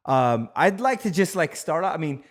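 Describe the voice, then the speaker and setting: Slightly high-voiced rambling podcast host man. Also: very animated, moderate pace. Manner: loose, rambling with many fillers. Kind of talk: casual podcast chat.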